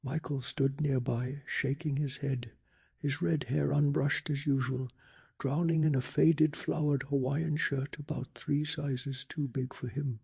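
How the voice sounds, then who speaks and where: A man softly narrating a bedtime story in a hushed, slow and deliberate ASMR style, with a breathy, gravelly voice. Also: medium pitch, little pitch movement. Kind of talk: soft-spoken ASMR scripted story narration.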